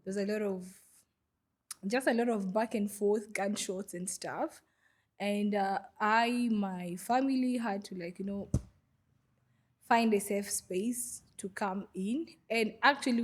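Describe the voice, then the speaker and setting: A woman's voice: mid-range voice, unhurried. A young woman from Goma in the DR Congo, a podcast guest, who tells her story in a hesitant way with many fillers. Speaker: guest, refugee vendor. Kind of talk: podcast interview.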